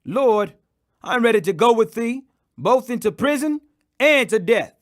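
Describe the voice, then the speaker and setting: Man plays earnest male disciple, high voice, insistent, defensive, scripture audiobook. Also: lively intonation, moderate pace. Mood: eager.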